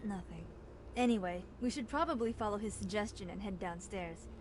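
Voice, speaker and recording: A woman's voice: medium pitch, a little fast, slight background noise, lightly acted, low-quality recording. A woman playing a bossy, inquisitive and guarded young woman in a visual novel game. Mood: dry, guarded.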